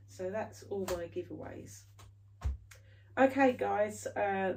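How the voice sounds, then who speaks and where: A woman's voice: low voice, gravelly, a little mellow, far from the mic, slight background noise, rough recording. A British woman chatting casually to her viewers in a rambling way, full of fillers and restarts, her nose a bit stuffy from hay fever. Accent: British English. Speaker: cross-stitch vlogger. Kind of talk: casual chat to camera.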